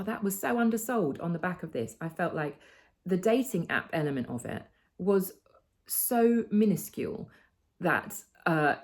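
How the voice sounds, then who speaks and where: A woman's voice: low-pitched, a little mellow. A British woman chatting casually and enthusiastically as she hosts a book club review. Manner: enthusiastic, conversational.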